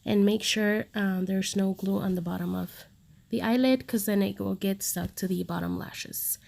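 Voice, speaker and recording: A woman's voice: mid-range voice, fairly even intonation, moderate pace, vocal fry, darkish tone. Casual makeup-artist woman, 30s, stuffy nose from a cold, friendly step-by-step voice-over. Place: home studio, recorded separately as voice-over.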